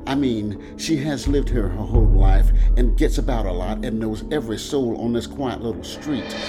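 A man voicing a skinny, polite little man in a crime story, earnest, wordy and excitable. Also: lowish voice, normal speed, over music, close to an everyday voice. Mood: puzzled, kindly. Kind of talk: dramatized crime story reading.

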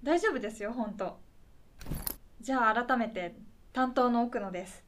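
Woman acting a higher-voiced, patient female caseworker. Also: normal speed, fairly bright tone, a little hiss or hum, natural voice. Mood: polite, professional. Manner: courteous, reassuring, tentative. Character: polite, patient, slightly puzzled female welfare caseworker. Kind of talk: naturalistic conversational radio drama acting.

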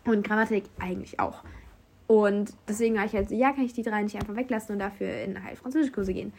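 A woman's voice: mid-range voice, fast, slight background noise. A young woman from Germany, a university student abroad, casually telling anecdotes in a chatty way and acting out what other people said. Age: young adult. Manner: chatty, animated quoting of others, frequent fillers. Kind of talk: casual storytelling.

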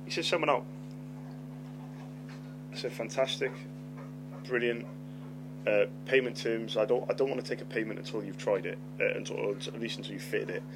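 A man's voice: fairly low pitch, moderate pace, long pauses, background noise. A middle-aged man, a lisp and a stammer, telling a story on his podcast, swearing freely and repeating words. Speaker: podcast host. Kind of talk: storytelling monologue. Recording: loud music behind voice.

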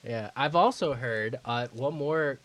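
Man, podcast co-host, calm, measured, dry humor, middle pitch. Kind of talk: casual chat.

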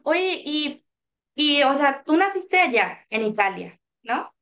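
A woman with a Mexican Spanish accent hosting a casual interview podcast, upbeat and quick with enthusiastic exclamations. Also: high-pitched, sing-song, fairly bright tone. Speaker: podcast host.

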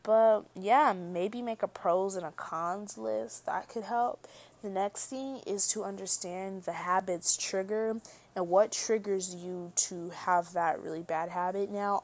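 A young woman talking casually to her viewers in a soft, slightly mumbled voice. She rambles, restarts her sentences and leans on filler words as she goes. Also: medium pitch. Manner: rambling, full of likes and restarts; soft-spoken, tends to mumble. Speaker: vlogger. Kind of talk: casual monologue to camera.

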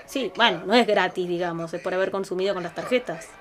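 Una actriz con acento rioplatense interpreta a una madre y esposa de mediana edad, apurada y dada al reproche, con actuación naturalista de ficción sonora. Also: female voice, on the low side, quick pace, lightly acted. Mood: casual, evasive. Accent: Rioplatense Argentine. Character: harried, reproachful mother and wife of a busy household. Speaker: actress in an audio fiction. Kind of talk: naturalistic audio drama acting.

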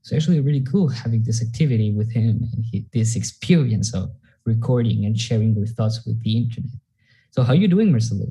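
A young podcast host from Mexico opens with easy, halting chat, restarting sentences and filling gaps with "actually". His voice is very dark and mellow with a husky edge. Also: medium pitch, animated, rough recording. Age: young adult. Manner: halting, many fillers and "actually".

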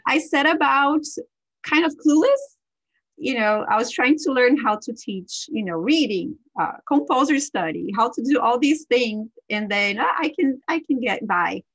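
A woman whose first language is Portuguese, co-hosting a podcast in casual chat, talking freely with many fillers and quick laughs. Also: a little high, no echo, muffled recording. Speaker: podcast co-host.

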